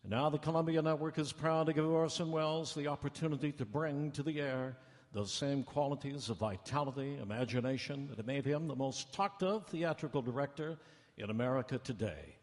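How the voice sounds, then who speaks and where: A man's voice: medium pitch, brisk, lightly acted. A man playing a grand 1930s radio announcer with dramatic, declamatory emphasis. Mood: grand, proclaiming. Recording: echoey town hall stage. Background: faint live music.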